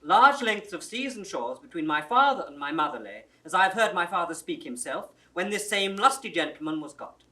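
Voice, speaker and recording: An actor playing an earnest, aggrieved younger brother, pleading formally and insistently in classical drama style. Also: male voice, high-pitched, big pitch swings, fairly quick, few pauses, bright, forceful tone, heavily acted. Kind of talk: 1960s classical Shakespeare audio drama acting.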